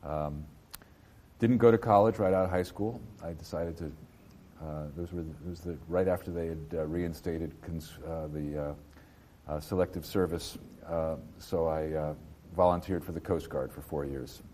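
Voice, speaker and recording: A man in his fifties from New Jersey, a bookseller being interviewed, speaking in a halting way with many fillers and restarts. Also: sits low, steady tone, mellow, slight background noise. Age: 50s. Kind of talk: casual interview.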